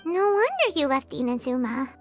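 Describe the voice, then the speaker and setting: A woman voicing a small, excitable, childlike fairy companion in a video game, chirpy and exclamatory. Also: sits high, moderate pace. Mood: curious. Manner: exclamatory, refers to self in third person. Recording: loud music under the voice.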